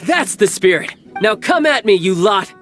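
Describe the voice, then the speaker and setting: A man's voice: sits high, sing-song, normal speed. An actor playing a young male military commander and doting big brother in a video game, speaking in a grandiose, commanding way with some awkward hesitation. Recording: music bed behind the voice. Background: background music.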